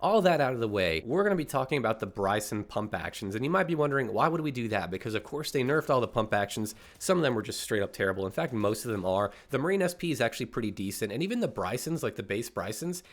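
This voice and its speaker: A man's voice: mid-range voice, big pitch swings, fast talker, hardly any pauses. Congested man giving casual gaming commentary. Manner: upbeat, chatty, explanatory. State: congested, clearing his throat. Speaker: gaming YouTuber host.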